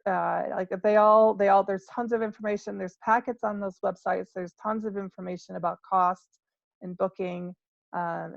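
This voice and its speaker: Female webinar host, mid-pitched with noticeable vocal fry, casual and hesitant, slightly dull call sound. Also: no room sound. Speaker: host of an online panel. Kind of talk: informal webinar hosting.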